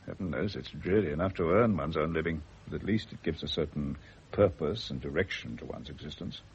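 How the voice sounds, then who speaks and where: An aging British doctor in an old radio drama. The voice is very low, measured and wryly philosophical. The sound is dull, with faint noise behind it. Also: male voice, gravelly. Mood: dry, frank. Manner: dry, measured, wry. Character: aging, worldly-wise English doctor, dry and philosophical. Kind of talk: mid-century radio drama acting.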